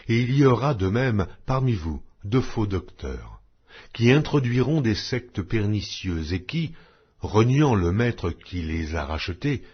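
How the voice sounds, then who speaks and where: A deep, husky male voice reads scripture in a measured, solemn way. His pitch moves widely, lifting and dropping through each phrase. The sound is dull and lo-fi. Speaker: Bible reader.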